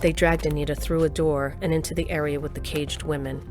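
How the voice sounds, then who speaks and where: A woman's voice: low voice, flat delivery, a little fast, over music, lightly acted. A woman playing a plain-spoken, dryly humorous older narrator telling her adventures in an audio drama. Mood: dry storytelling. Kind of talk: scripted first-person narration in audio drama.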